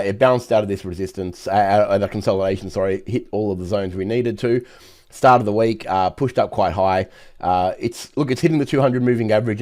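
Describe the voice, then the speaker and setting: A hoarse man who has nearly lost his voice, giving brisk trading chart commentary with many fillers. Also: fairly low pitch, a little mellow. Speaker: market analyst host.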